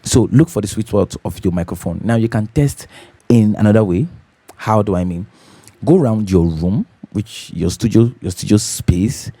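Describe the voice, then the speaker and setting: Low voiced guy teaching recording tips, repeats stuff and goes "yeah?", very dry studio mic sound. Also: normal speed, dark tone, dry recording. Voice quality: deep, bassy voice. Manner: easygoing, repeats key points, adds "yeah?" to check in. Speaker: host of a home recording channel. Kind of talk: casual tutorial talk to camera. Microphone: studio condenser microphone.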